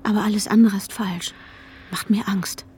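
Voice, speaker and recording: An actress playing an anxious, obsessive woman in a German radio drama, tense and breathy, often dropping to a whisper. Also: medium pitch, fairly even intonation, normal speed, mellow, a little hiss or hum, lightly acted. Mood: fearful, hushed. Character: anxious, obsessive, unstable woman narrating her own desperation. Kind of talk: German radio drama acting with inner monologue.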